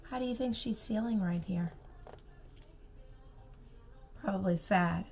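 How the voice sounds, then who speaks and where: A woman reading a storybook aloud to young children, expressive and emphatic, with simple asides and questions for the listeners. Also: medium pitch, soft background music. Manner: expressive, slow emphatic phrasing, simple child-directed comments. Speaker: preschool teacher reading aloud.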